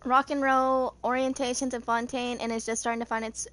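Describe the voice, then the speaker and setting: A woman's voice: fairly high pitch, level tone, brisk, a bit bright. Young woman reading aloud, stumbling over words. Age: 20s. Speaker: gaming channel host. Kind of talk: reading game character stories aloud, with casual asides.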